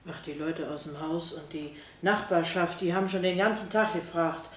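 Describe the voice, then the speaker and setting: An actress playing a plain-spoken, caring housemaid in a German radio drama, simple and hesitant in manner. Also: female voice, on the low side, steady tone, moderate pace, echoey room, natural voice. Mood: concerned.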